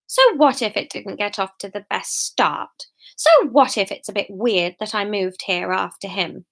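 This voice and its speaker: A woman's voice: medium pitch, steady tone, normal speed, vocal fry, fairly bright tone, voice pushed down. A woman voicing a hopeful young woman in an audiobook. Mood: defensive, hopeful. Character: Emma, hopeful young woman reassuring herself. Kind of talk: audiobook character dialogue.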